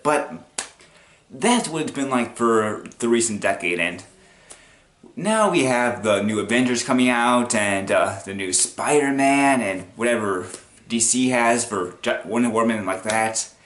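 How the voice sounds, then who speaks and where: Guy doing offhand commentary, kinda halting and rambly, average pitch, roomy echo. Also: a bit bright. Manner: rambling, halting, chuckles as he talks. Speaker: video essay host. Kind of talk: casual unscripted commentary. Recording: echoey, roomy sound.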